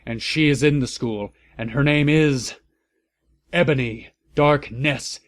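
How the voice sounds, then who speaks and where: A man's voice: mid-range voice, takes it slowly, natural voice. A man voicing an aged, angry headmaster with a solemn, declamatory manner in a storybook reading. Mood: grave anger. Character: angry, aged male school headmaster, grave and dramatic.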